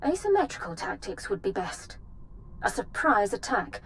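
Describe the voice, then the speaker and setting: A female military officer with a slightly high voice, formal and disciplined. She briefs in a businesslike manner, with faint noise behind. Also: very animated, moderate pace. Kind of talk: video game cutscene acting.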